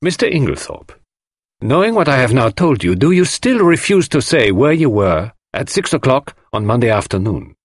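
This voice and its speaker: A man voicing a theatrical, commanding detective, formal and deliberate, in an audiobook. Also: mid-range voice, very animated, husky, dry recording, clean studio sound, pitched above the performer's own voice. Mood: pointed, warning. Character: theatrical Belgian male detective, precise, commanding, dramatic. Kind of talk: audiobook character voice.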